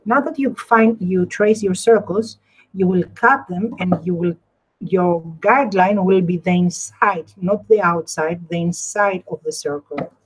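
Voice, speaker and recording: A woman's voice: on the low side, unhurried, slightly rough, rough recording. A woman with a Greek accent hosting a casual live craft demonstration, repeating and rephrasing herself as she explains and chats. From Greece. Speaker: craft tutorial host.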